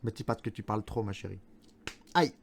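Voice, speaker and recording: A man's voice: on the low side, a little fast, creaky, husky. A Belgian man from the Charleroi area hosting a gaming stream, rambling and self-mocking with friends. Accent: Belgian French, Charleroi. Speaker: streamer host. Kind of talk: casual gaming stream chat. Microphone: good streaming mic.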